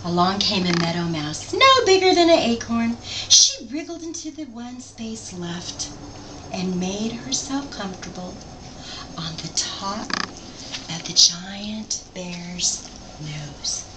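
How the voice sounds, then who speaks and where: Woman, slow storybook read-aloud, expressive, asks listeners questions, distant mic, faint noise. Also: medium pitch. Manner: expressive, pausing to ask simple questions. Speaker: storybook reader.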